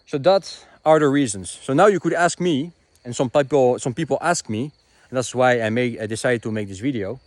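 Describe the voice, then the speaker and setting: A man's voice: medium pitch, normal speed, no room sound. A young Dutch-born man whose first language is Dutch, talking casually to camera outdoors in the countryside and often dropping in "you know" and "uh". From Netherlands. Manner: conversational, frequent fillers like "you know" and "uh". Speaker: vlogger.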